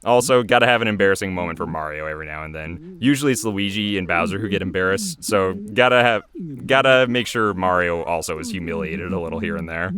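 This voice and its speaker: A man's voice: sits low, fast talker, a bit bright. A man giving casual, off-the-cuff creator commentary on his own animation, hesitant and repeating himself. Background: audio of the cartoon episode.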